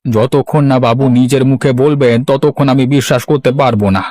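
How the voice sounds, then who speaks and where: A man's voice: mid-range voice, fairly even intonation, a little fast, darkish tone, lightly acted. A man playing a humble, earnest village man in a cartoon, speaking deferentially and pleadingly. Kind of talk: Bengali cartoon voice acting.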